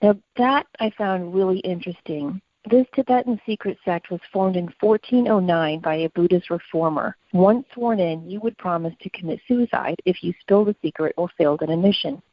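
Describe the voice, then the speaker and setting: A woman with a fairly low voice tells a story as a podcast co-host, reading from prepared notes. She explains as she goes. The sound is close and dry, slightly dull. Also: moderate pace, few pauses, no room sound.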